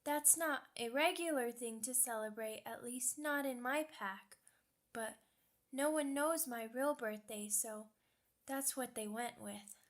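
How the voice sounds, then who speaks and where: A woman's voice: fairly high pitch, measured pace, lightly acted. A woman acting a young werewolf pup girl in an ASMR roleplay, timid, earnest and sweet, with a hesitant, childlike way of talking. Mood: sweet, chatty. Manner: hesitant, simple childlike wording with stammered repeats.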